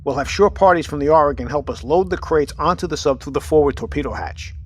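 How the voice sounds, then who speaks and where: Commanding, pragmatic military captain, highish voice. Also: male voice, fast talker, breathy edge. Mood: firm, resolved. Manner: commanding, clipped, matter-of-fact. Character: steady, pragmatic military submarine captain leading a mission. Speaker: actor in audio drama. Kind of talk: audio drama acting.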